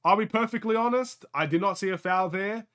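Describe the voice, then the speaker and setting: Excitable young Australian man, bright voice. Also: high voice, brisk, smooth. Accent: light Australian. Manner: excitable, chatty, laughs often. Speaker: streamer hosting a game watchalong. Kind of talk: casual live play-by-play commentary.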